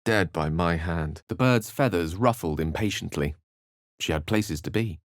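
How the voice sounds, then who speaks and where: A man's voice: low-pitched, unhurried, no room sound, clean studio sound. A man voicing an eloquent, bookish wizard in a fantasy video game, wry and courteous, with articulate, dramatic phrasing. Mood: hushed, grave narration. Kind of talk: theatrical fantasy game dialogue and storybook-like narration.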